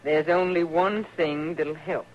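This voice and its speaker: A woman's voice: sits low, steady tone, unhurried. A woman playing a bitter, grieving frontier mother in an old radio western, plain-spoken, with a rural Western American accent. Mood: grimly threatening. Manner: plain-spoken, folksy, unyielding. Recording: muffled, hissy old radio recording.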